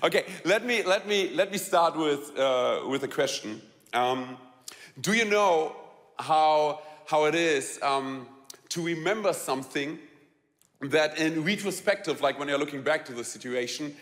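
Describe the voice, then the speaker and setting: A man with a German accent tells a personal story to a live audience, halting and repeating words, laughing often and asking the audience questions. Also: a little high, lively intonation, fairly bright tone, big-room sound. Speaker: speaker telling a personal story.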